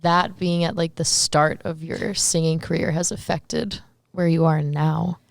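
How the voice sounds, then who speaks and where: A woman's voice: sits low, level tone, unhurried, vocal fry, no echo. An American woman interviewer chatting casually, with a friendly, encouraging and slangy manner. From New Jersey, United States.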